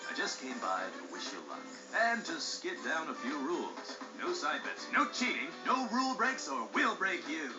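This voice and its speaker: An actor playing a brash, showy TV race announcer and commissioner in a video game, with slick, bombastic delivery. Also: male voice, fairly high pitch, very animated, moderate pace, hardly any pauses. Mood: slick, threatening. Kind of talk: hyped sports-broadcast commentary. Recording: muffled game audio, echo, music underneath.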